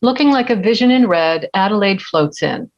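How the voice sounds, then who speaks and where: A woman's voice: medium pitch, lively intonation, normal speed, lo-fi sound. A woman giving scripted narration of stage directions at a screenplay table read.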